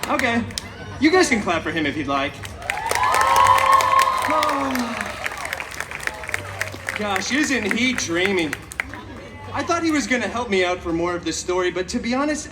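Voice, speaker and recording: A man's voice: sits high, very animated, moderate pace, long pauses. A man playing a chaotic, cheeky, vain masked antihero who tells a story theatrically to a live audience with sassy, mock-sweet asides. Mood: theatrical, gossipy. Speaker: theme park stage show performer. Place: theme park stage, recorded from the audience. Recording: amplified live show, distant, crowd noise.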